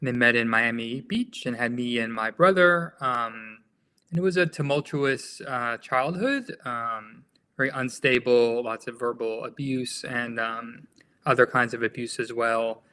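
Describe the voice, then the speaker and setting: An American man speaks as a podcast guest in a clear, bright voice. He answers in a measured, thoughtful way and often stops on an um or an uh. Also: medium pitch. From United States. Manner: measured, with frequent um and uh pauses. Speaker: nonprofit founder, guest. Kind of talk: conversational interview answers.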